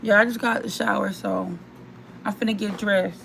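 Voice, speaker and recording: A young woman vlogger with a hoarse, worn-out voice, chatting and rambling straight to her viewers. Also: mid-range voice, moderate pace, a bit bright, a little hiss or hum. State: hoarse, voice mostly gone. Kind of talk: casual chat to viewers.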